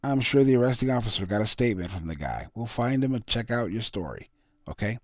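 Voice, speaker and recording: A man's voice: medium pitch, animated, fast talker, dry recording, dull audio, natural voice. A man voicing a businesslike police detective in a short story reading, official and matter-of-fact. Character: businesslike male police detective, calm and procedural.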